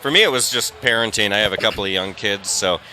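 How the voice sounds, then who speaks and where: A man's voice: lowish voice, quick pace, pushed and bright, slight background noise, low-quality recording. A man in a rock band, a father, speaking earnestly and reflectively in a casual outdoor festival interview.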